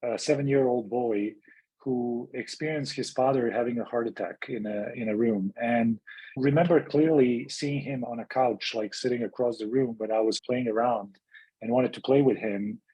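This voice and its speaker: Husky-voiced man telling his story. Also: medium pitch, fairly even intonation, moderate pace, mellow, rough recording. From Serbia. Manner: reflective, storytelling with many restarts. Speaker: physician and health activist. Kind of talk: interview guest.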